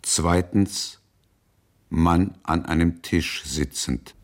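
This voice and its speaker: An actor playing a brooding man in a radio drama, listing things in halting, repeated fragments. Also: deep voice. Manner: halting, enumerating, repetitive.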